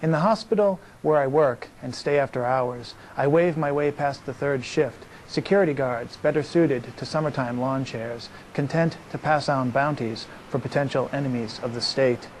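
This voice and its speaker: A man's voice: medium pitch, moderate pace, few pauses, slight background noise, low-quality recording. A man reciting poetry steadily as a writer at a reading, in long running lines. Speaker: poet reading own work.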